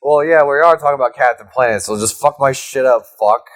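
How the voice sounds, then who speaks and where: A man's voice: mid-range voice, quick pace. A man co-hosting a comedy podcast, riffing in a casual, profane and absurd way. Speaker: podcast co-host.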